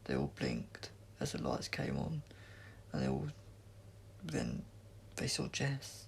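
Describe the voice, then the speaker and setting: A man narrates a story with heavy creak in his voice and lively swings of pitch. He reads dramatically, over a faint layer of noise. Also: takes it slowly. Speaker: audiobook reader.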